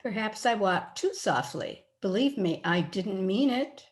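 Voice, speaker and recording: An actress playing a wounded, bitter young daughter in an old-time radio melodrama, dramatic in manner. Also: female voice, mid-range voice, expressive, unhurried, lightly acted. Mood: quietly hurt. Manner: accusing, dramatic.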